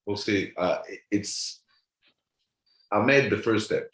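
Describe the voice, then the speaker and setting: Deep-voiced man. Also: fairly bright tone. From France. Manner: halting, repeats words while searching. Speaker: comedian guest. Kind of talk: casual chat. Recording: roomy echo.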